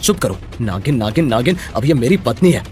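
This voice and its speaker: A man's voice: mid-range voice, big pitch swings, a little fast, a little mellow, faint music behind, natural voice. Man playing an earnest devoted young husband. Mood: indignant.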